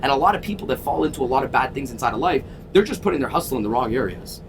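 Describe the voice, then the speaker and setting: A man in his thirties from Toronto, a sales coach telling his story in a casual interview, energetic and emphatic, swearing freely. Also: very fast. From Toronto, Canada. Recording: constant vehicle rumble.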